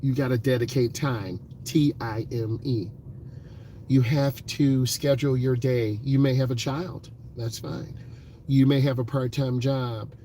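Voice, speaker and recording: A driving instructor speaks from inside his car, earnest and encouraging, stacking up repeated points with plenty of "uh"s. His voice sits at an ordinary middle pitch. Faint road ambience hums behind him. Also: steady tone, unhurried, warm tone, slight background noise. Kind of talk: vlog talking from a car.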